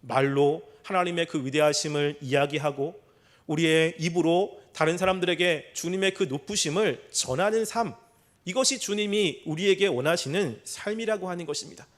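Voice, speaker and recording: High-pitched man preaching an expository sermon. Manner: measured, explanatory, with formal polite endings.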